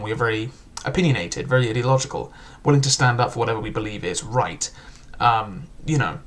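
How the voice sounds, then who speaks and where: A young man talks through a book review in an unpolished, conversational way, leaning on "like" and "um" as he hunts for his point. His pitch sits in an ordinary middle range for a man. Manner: chatty, full of "like" and "um" fillers. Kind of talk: casual spoken book review.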